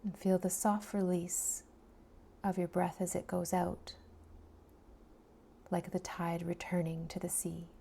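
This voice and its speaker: A woman leads a guided body scan at a very slow, unhurried pace. Her voice is mellow and fairly low, with creaky edges. She speaks softly and breathily, often sinking toward a whisper. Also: flat delivery, a little hiss or hum. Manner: soft, soothing, unhurried delivery with long pauses. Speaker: meditation guide.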